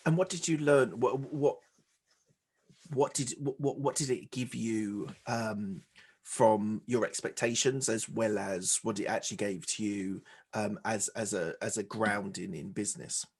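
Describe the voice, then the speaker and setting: A man's voice: fairly low pitch, measured pace, a little mellow, breathy edge. A man hosting a business podcast, asking questions with many hesitations and repeated words. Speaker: podcast host. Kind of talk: interview podcast.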